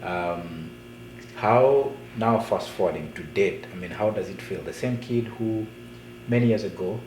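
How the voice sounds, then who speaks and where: A man who hosts a casual interview and asks drawn-out, affirming questions. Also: lowish voice, a little mellow. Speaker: interview host. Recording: echoey room with noise.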